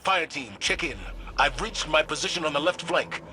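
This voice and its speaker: An actor playing a resolute military commander in a video game, giving authoritative, clipped orders. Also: male voice, on the high side, moderate pace, a little hiss or hum. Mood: steady, focused. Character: resolute male commander leading a fire team. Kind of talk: dramatic video game voice acting. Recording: game dialogue under loud music.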